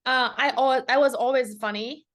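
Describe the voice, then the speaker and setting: A woman's voice: high-pitched, steady tone, normal speed, fairly bright tone, no echo. A woman in her thirties from China, speaking with a Chinese accent, telling her story as a comedian guest in an interview with many fillers.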